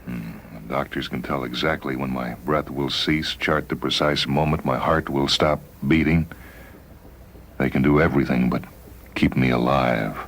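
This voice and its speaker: A man playing a dying spaceman in a dramatised story, wistful, haunted and intense, with halting, emotional delivery. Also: extremely low pitch, steady tone, a bit bright, a little hiss or hum. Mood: bitter, angry. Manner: halting, emotional, repeats words. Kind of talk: dramatised short-story reading.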